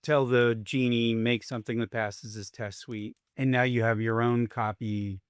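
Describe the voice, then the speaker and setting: A man with a mid-pitched voice hosts a casual technical discussion. He speaks in short, declarative points, and the sound is dry and close with very little room. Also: fairly even intonation, moderate pace, smooth, no echo. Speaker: host.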